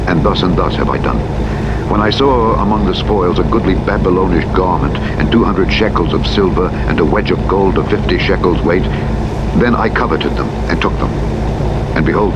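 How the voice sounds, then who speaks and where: A man narrating the Bible in a solemn, measured, formal audiobook style. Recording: loud vehicle engine noise throughout. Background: rumbling truck engine from a driving simulator.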